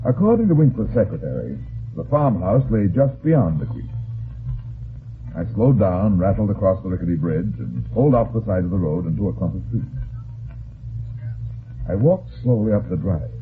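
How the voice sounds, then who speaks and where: Hard-boiled detective, low voice, terse and sardonic, suspicious, old radio crime drama, tinny sound. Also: male voice, sing-song, normal speed, pauses often, slight background noise. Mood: grim, watchful. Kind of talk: old-time radio detective drama with first-person narration. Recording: tinny band-limited old radio recording.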